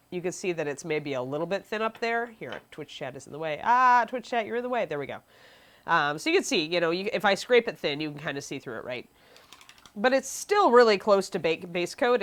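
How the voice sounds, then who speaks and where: A sniffly young woman, stuffy from allergies, giving a chatty live painting tutorial and answering chat questions. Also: fairly low pitch. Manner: chatty, reads chat questions aloud, frequent ums. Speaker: miniature painting instructor.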